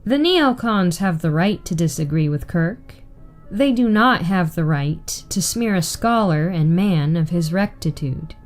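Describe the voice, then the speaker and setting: A woman reading a written political article aloud in a measured, deliberate way. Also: fairly low pitch, expressive, soft background music. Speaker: reader.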